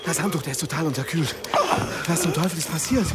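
A woman's voice: sits low, fast talker, over loud music, close to an everyday voice. An actress playing a frantic, commanding woman in an urgent rescue scene in a German radio drama. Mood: panicked. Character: frantic woman accusing another while rescuing a drowning man.

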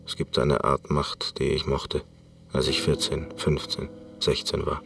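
Very deep, gravelly, weary recluse. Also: male voice, little pitch movement, measured pace, exaggerated voice. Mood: weary, bitter. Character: world-weary, disillusioned, reclusive male former concert performer. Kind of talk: radio play monologue. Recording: distant mic, music behind.

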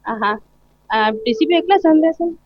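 A woman's voice: high voice, animated, normal speed, dry recording, highs cut off. A teenage young woman answering casually as a student in a live interview. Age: teens.

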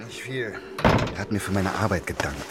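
A man's voice: low voice, expressive, normal speed. An actor playing an authoritative, embittered male police commissioner in a German radio drama. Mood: bitter, resigned. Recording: radio drama with loud ambient vehicle noise.

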